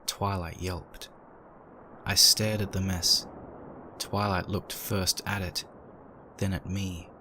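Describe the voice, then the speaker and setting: A man acting as the brooding, uneasy narrator of a dark horror audio drama, reading in a dramatic scripted style. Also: deep voice, level tone, slow, pauses often, exaggerated voice. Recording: noisy, with background ambience effects.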